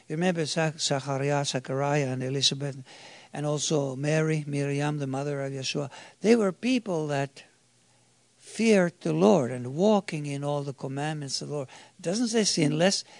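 A man preaches a sermon in a slightly high voice with a gravelly edge. He talks slowly, emphatic and halting, often restarting and putting questions to his listeners. The recording is dry and close. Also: dry recording. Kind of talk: sermon to a congregation.

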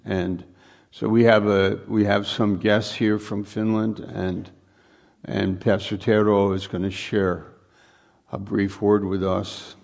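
A male pastor speaking informally to his congregation, halting and repeating words as he goes, often putting questions to them. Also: fairly low pitch, level tone, vocal fry, darkish tone. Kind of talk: informal sermon, welcoming guests to congregation. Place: live church service.

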